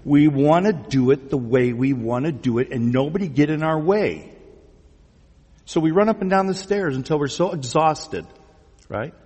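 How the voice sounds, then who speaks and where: A man's voice: a little high, lively intonation, normal speed, a little mellow, breathy edge. A man speaking as a pastor in a conversational sermon, teasingly putting questions to the congregation and repeating phrases back. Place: large church room before a congregation. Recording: reverberant hall sound.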